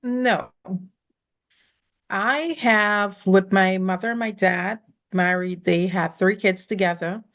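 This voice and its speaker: A woman from the Caribbean talks as a guest on a conversation podcast. She tells her personal story earnestly and graciously, her voice at an ordinary pitch. Small laughs slip in between her thoughts. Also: slow pace, a bit bright. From Caribbean, born in Guyana, raised in Barbados.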